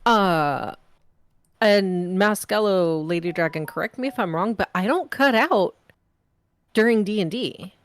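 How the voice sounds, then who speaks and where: A woman from the Pacific Northwest chatting casually over a home voice call, talkative and quick to laugh. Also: medium pitch. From Pacific Northwest, USA. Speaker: voice actor in a group game playthrough. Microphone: home mic on a voice call.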